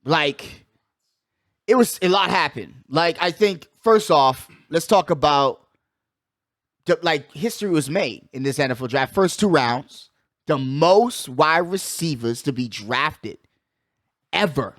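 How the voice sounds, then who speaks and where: A man's voice: a little high, lively intonation, slow, fairly bright tone, no room sound, clean studio sound. A man hosting a casual sports talk show, slangy and emphatic, often repeating his catchphrases. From United States. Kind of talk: casual hyped sports chat.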